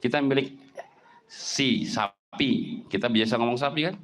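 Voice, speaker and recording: A middle-aged Javanese man giving a lively sermon, with a Javanese accent, often jumping up in pitch for emphasis and asking rhetorical questions. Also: measured pace, slight background noise. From Java, Indonesia. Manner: animated, swings pitch up for emphasis, rhetorical questions, mimics speech. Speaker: Islamic preacher.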